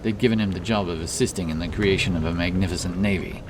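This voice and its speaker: A man's voice: deep voice, fast talker, background noise. A man narrating a dramatic fantasy story as an audiobook, over background ambience. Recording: clear background ambience under voice.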